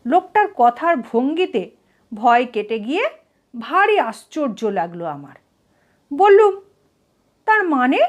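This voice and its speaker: A woman storyteller giving an expressive scripted reading of a comic short story. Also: fairly high pitch, measured pace, long pauses.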